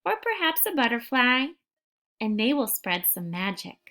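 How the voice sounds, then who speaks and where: A woman reading a picture book aloud for young children and giving gentle instructions, phrasing things deliberately and carefully. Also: fairly high pitch, sing-song.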